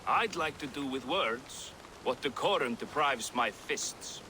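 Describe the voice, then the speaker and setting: An actor playing a brash, boastful, thin-skinned Norse brawler in a video game, taunting and blustering. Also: male voice, mid-range voice, expressive, measured pace, pushed and bright, slight background noise, poor audio. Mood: swaggering.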